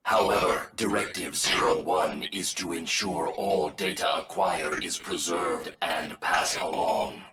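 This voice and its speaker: An actor voicing a solemn, logical robot wolf in a video game, speaking formally in a measured, machine-like way. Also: male voice, sits low, steady tone, reverberant, crowd noise. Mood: solemn, resolute. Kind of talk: video game cutscene acting.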